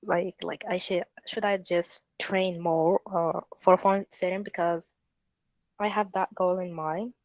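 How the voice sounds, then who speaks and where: A woman in a casual group video call, asking earnest questions in a slightly halting way. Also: on the low side, steady tone, no room sound, low-quality recording. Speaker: community member asking for advice.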